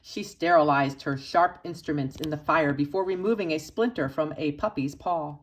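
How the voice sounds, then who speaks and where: A woman reading a children's picture book aloud expressively, with dramatic emphasis and pauses for young listeners. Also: low voice, rough recording. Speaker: storybook reader for children's church.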